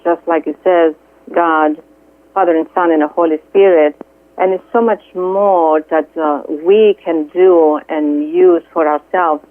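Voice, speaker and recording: A middle-aged woman from Greece with a Greek accent, a guest speaking earnestly and haltingly, with many fillers, over the phone. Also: low voice, no room sound. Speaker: guest, Reiki healer. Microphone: telephone. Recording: tinny dry phone line.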